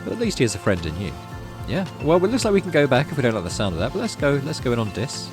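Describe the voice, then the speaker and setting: A man's voice: mid-range voice, big pitch swings, rapid-fire, over music. A man hosting a gaming video, reading story text aloud expressively and adding casual, musing commentary.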